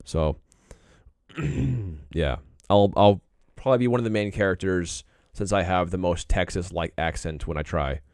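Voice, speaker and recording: A young man streaming casually while he draws, rambling in a laid-back way and often clearing his throat. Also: low-pitched, moderate pace, darkish tone, no room sound, studio quality. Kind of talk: casual live-stream chat while drawing.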